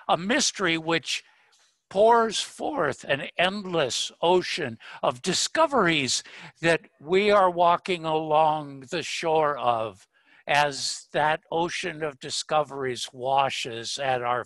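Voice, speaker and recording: Very slow, bright-voiced man giving an invocation. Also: on the high side, dry recording. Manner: solemn, measured, with long pauses between phrases. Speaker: speaker giving a spiritual invocation. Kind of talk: poetic spiritual invocation.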